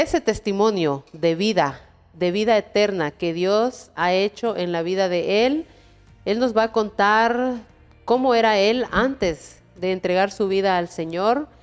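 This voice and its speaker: Smooth-voiced woman hosting a faith interview. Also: medium pitch. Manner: warm preachy phrasing with frequent "¿verdad?" prompts. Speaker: host.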